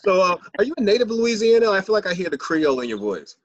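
A man's voice: high voice, big pitch swings, quick pace, no echo, muffled recording. A man hosting a casual podcast interview, chatty and upbeat with loose restarts. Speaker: podcast host.

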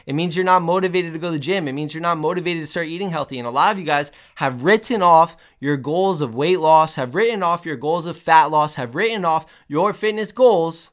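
A man's voice: a little high, a little fast, hardly any pauses. A man hosting a fitness podcast, talking with emphatic energy and repeating key points, sounding a little nasal while getting over the flu. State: recovering from the flu. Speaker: podcast host. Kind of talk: motivational fitness podcast talk.

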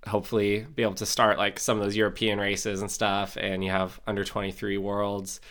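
A man's voice: on the low side, completely flat delivery, fairly quick, fairly bright tone. A young man hosting a sports podcast, chatting casually and enthusiastically, full of "like" and "super". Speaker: podcast host. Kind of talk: casual interview chat.

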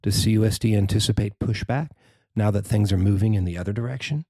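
An American man narrates a written news story in a fairly low voice. The sound is very dry and close, with no room around it, and the delivery is that of a reporter reading copy. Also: fast, dark tone, no room sound.

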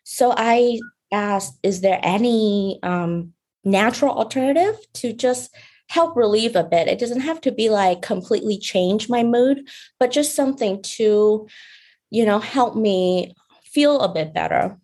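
A young woman from Vietnam, a beauty brand founder, talking casually as a podcast guest, soft-spoken with light laughs and many fillers. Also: mid-range voice, animated, a little slow. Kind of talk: casual interview talk.

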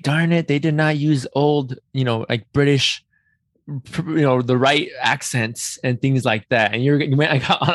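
A man's voice: medium pitch, no echo, studio quality. A man hosting a casual interview, reacting with effusive enthusiasm. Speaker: podcast host.

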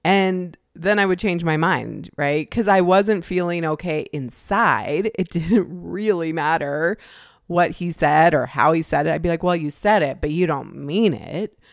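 A woman hosting a podcast on her own, talking in a relaxed, reflective way, laughing often and acting out her own inner thoughts. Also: on the low side, a little slow, no echo, clean studio sound. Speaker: podcast host. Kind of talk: casual solo podcast talk.

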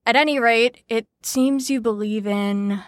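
A woman's voice: on the high side, measured pace, dry recording, studio quality, lightly acted. An actress in an audio drama playing an exasperated, sardonic young woman who leads a group lesson in a weary, lecturing manner. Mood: dry, lecturing.

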